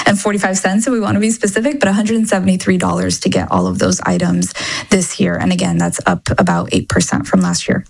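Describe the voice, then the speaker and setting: Creaky-voiced woman explaining as a reporter. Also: fairly low pitch. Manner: conversational, seeks agreement with "right?". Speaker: economy reporter being interviewed. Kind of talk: explanatory radio interview answers.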